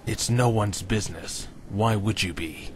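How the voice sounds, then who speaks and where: A man's voice: lowish voice, a little slow, slight background noise, lightly acted. A man playing a curt, guarded team member in an audio drama, speaking tersely.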